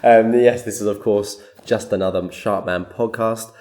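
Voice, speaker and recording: A man with a fairly low, very soft-edged, mellow voice co-hosts a fan podcast. He talks in earnest, gushing banter, stammering and circling back over his own phrases. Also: steady tone, normal speed, reverberant. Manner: gushing, stammering, repeats himself. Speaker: podcast co-host.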